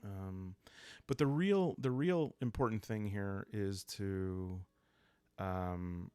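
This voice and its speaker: A man talking calmly and softly as host of a relaxing solo roleplaying playthrough, thinking aloud with hesitant pauses. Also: deep voice, clear, resonant tone, no echo. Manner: calm, soft-spoken, hesitant pauses while thinking aloud. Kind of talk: calm, rambling sleep-aid game walkthrough.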